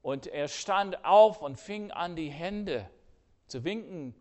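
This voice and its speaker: An older man preaching a sermon earnestly, swinging between loud emphasis and hushed, quiet asides. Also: a little high, expressive, slow pace.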